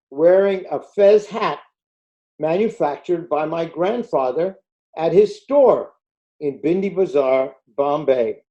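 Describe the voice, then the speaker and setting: Slow-spoken older man from India, dark tone. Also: on the high side, lo-fi sound. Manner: measured, storytelling delivery. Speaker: guest speaker. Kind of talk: prepared talk with slides. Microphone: video call.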